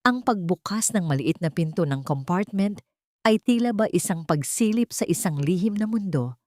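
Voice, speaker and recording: A woman reading a scripted first-person story in a solemn, melodramatic, deliberate manner. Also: on the low side, expressive, a little mellow, no room sound, studio quality.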